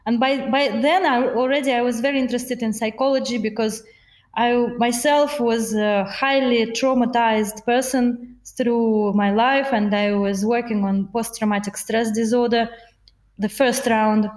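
A woman's voice: on the high side, steady tone, unhurried, reverberant. A woman with a Russian accent, a voice coach talking earnestly in an interview and laughing often. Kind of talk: podcast interview.

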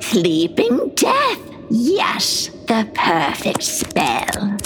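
A woman playing an evil queen disguised as a cackling old hag in a comic radio play, with a cracked, breathy voice. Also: mid-range voice, huge pitch swings, very slow, over music, heavily acted. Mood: wicked glee. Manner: gleefully wicked, theatrical.

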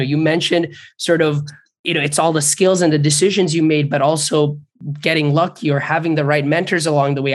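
A young man from Bangladesh hosting a podcast interview, speaking earnestly and at length, appreciative of his guest. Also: a little high. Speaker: podcast host.

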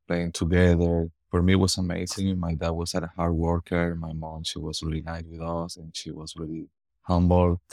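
Man, forties, Venezuelan Spanish accent, Spanish native, very low voice, level monotone delivery, earnest, halting, repeats words. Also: dry recording, studio quality. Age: 40s. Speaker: guest. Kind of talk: interview answers.

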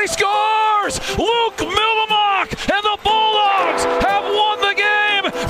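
A man calling hockey play-by-play in excited radio commentary, from a broadcast clip. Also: extremely high pitch.

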